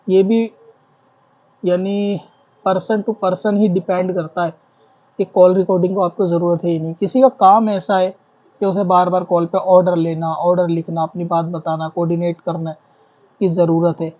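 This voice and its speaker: High-pitched, even-toned man explaining religious rulings. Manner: didactic, reasoning step by step with repetition. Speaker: Islamic scholar answering questions. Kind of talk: explanatory religious ruling in question-and-answer form. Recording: dull lo-fi audio.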